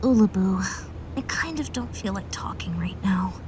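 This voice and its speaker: Amateur audio drama: actress playing a conflicted young heroine, hesitant, with a clear hiss on the recording. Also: female voice, mid-range voice, animated, moderate pace, close to an everyday voice. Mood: sullen.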